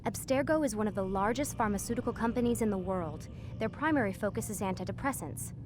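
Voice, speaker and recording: A woman's voice: mid-range voice, lively intonation, quick pace, background noise, lightly acted. A woman playing a guarded, patient but firm scientist in a video game, with a dry manner. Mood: dry, matter-of-fact. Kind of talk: naturalistic video game acting.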